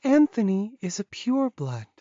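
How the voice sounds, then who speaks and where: A woman's voice: mid-range voice, big pitch swings, normal speed, mellow, no echo, muffled recording, natural voice. A woman voicing a loyal, resigned witch guarding a captive in an audiobook, matter-of-fact as she explains. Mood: explaining, matter-of-fact.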